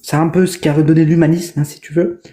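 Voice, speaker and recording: A woman's voice: low voice, steady tone, fast, mellow. A woman debating in a casual online discussion, hesitant and full of fillers and restarts. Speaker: debate guest.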